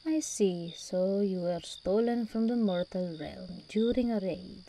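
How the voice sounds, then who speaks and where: Slow, mellow demon princess, acted by a woman. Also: medium pitch, expressive, slight background noise, poor audio. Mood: intrigued, teasing. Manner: theatrical, teasing, imperious endearments. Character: regal, seductive demon princess, commanding yet tender. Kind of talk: ASMR roleplay monologue.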